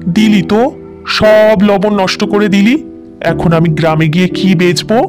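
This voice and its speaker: A man playing a simple, good-natured village salt merchant in a children's cartoon fairy tale, with drawn-out, plaintive exclamations. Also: high-pitched, fairly even intonation, over music. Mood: dismayed, scolding.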